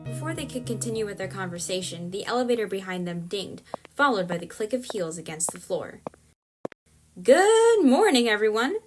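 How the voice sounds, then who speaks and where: A woman's voice: medium pitch, expressive, fairly quick. Woman narrating a story over music. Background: music bed.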